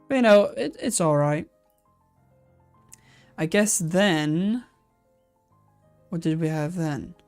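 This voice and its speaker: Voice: mid-range voice, expressive, slow pace, long pauses, darkish tone, soft background music. A live-stream host chatting casually while reacting to old aquarium videos, thinking aloud with many restarts and answering viewer comments.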